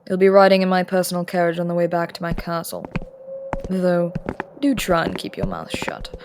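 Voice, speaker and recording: A woman voicing an aloof, aristocratic immortal prince in an audio roleplay drama, speaking in a cool, commanding tone with soft murmured asides. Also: lowish voice, expressive, normal speed, slight background noise, close to an everyday voice. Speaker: voice actor.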